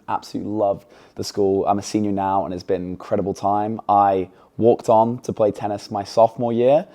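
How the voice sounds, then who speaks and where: Man, 20s, English-American mixed accent, low mellow voice, chatty, upbeat, casual interview guest. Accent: mix of English and American. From England. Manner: chatty, filler-heavy, upbeat.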